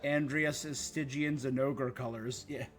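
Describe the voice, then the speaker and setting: A man's voice: medium pitch, steady tone, a little slow. A man giving casual live commentary as he streams a video game. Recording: music clearly behind the voice.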